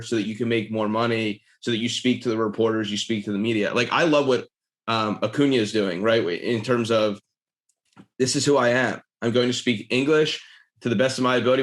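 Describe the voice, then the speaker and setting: A man from Philadelphia hosting a podcast, talking in an earnest, animated way and often restarting his phrases. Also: mid-range voice. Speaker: podcast host. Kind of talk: casual conversation.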